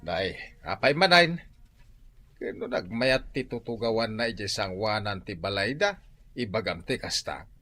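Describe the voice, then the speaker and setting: Exasperated village father, animated pitch. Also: unhurried, dry recording, faint music behind, exaggerated voice. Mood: scolding. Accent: Ilocano. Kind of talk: Ilocano radio comedy drama acting.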